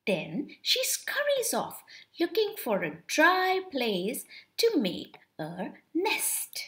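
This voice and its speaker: A young woman reads a children's picture book aloud expressively and deliberately, drawing out words. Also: high-pitched, huge pitch swings, a bit bright. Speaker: storybook reader for children.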